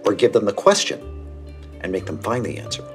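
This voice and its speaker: An animated older male mentor, self-deprecating and encouraging in an audio drama. His pitch swings widely, with music faintly behind him. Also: fairly quick. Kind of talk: naturalistic audio drama interview.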